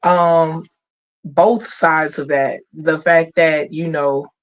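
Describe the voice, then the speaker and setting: A man's voice: on the high side, fairly even intonation, slow. A man hosting a community radio talk show, chatting in a conversational, affirming way. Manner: conversational, affirming, lots of "you know". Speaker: host.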